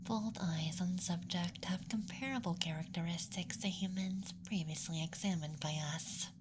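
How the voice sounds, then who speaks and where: A man voicing a playful young alien abductor in a whispered ASMR roleplay, speaking softly in a teasing, clinical manner. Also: high voice, fairly even intonation, moderate pace, very noisy, close to an everyday voice. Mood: curious, clinical.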